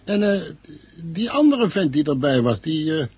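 An actor playing a doubtful, hesitant junior patrolman in a radio play, with a very husky voice. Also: on the high side, sing-song, measured pace, a little mellow, exaggerated voice. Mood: suspicious, hesitant. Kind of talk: 1970 radio play acting.

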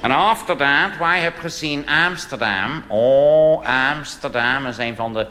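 A man's voice: fairly high pitch, unhurried, sharp tone, a little hiss or hum, pitched below the performer's own voice. A man voicing a chatty English-speaking male tourist who mixes English words into clumsy Dutch grammar. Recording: reverberant live hall with music.

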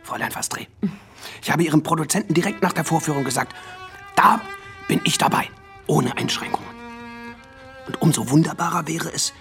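A courtly cinephile film distributor in a radio drama. His voice is heavily creaky and often drops to a hushed breath. He savors his words theatrically, with wide melodic swings, over faint music. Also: on the high side, animated, fairly quick, long pauses. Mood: smooth, seductive. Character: courtly, intense male film distributor, passionate cinephile wooing actress.

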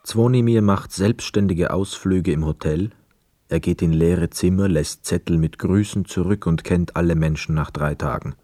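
A man playing a brooding, detached young war returnee, narrating a literary radio play in a measured, sober way. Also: deep voice, warm tone. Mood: sober, reflective.

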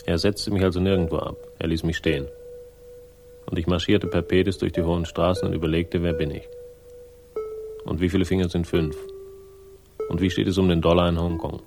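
An actor playing a world-weary male sleuth in a German radio crime drama, speaking with dry, laconic irony and a gravelly voice. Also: low-pitched, little pitch movement, brisk, pauses often, over music. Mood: wry narration. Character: sardonic, world-weary male crime sleuth telling his own story. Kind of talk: 1960s German radio crime drama, first-person narration and dialogue.

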